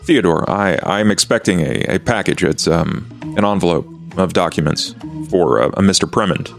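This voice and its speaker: Man playing a deep, gravelly gentleman vampire investigator. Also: expressive, moderate pace, soft background music. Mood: polite, probing. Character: dry, courteous male vampire investigator.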